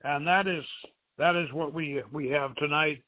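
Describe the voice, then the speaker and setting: Guy hosting a radio story hour, kinda high voice, muffled lo-fi phone-like sound. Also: steady tone, measured pace. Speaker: show host and novelist reading own story. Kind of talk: radio show introduction and dramatic reading. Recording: muffled narrow-band internet radio sound.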